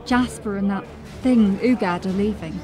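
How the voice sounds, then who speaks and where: A woman's voice: medium pitch, normal speed, lightly acted. A woman playing an alert, level-headed city watch officer in a fantasy audio drama, observant and urgent. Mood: watchful.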